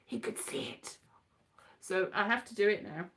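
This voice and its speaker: A woman's voice: mid-range voice, normal speed, fairly bright tone. A middle-aged woman from Wales rambling casually in a scatty, digressive way, thinking aloud and muttering asides to herself. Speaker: writer and performer, host.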